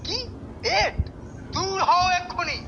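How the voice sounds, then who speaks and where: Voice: extremely high pitch, huge pitch swings, slow pace, creaky, muffled recording, heavy background noise, exaggerated voice. An actor with a Bengali accent playing a pompous, scheming male royal minister in a cartoon, haughty and commanding. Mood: angry.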